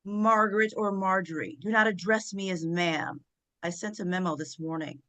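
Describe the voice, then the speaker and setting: A woman playing an imperious, sharp-tongued First Lady, haughty, biting and commanding, in a comedy play table read. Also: lowish voice, normal speed, natural voice. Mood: commanding, impatient.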